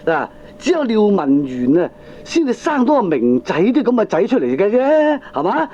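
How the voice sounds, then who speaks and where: An excitable, stubborn Hong Kong Cantonese father talks emphatically in an old comic radio play. His high voice swings up and down, on a dull lo-fi recording. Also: normal speed, a little hiss or hum. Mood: insistent, earnest. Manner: emphatic, chatty, exaggerated delivery. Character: excitable middle-aged father, stubbornly convinced, earnest and talkative. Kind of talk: 1980s Cantonese comic radio drama acting.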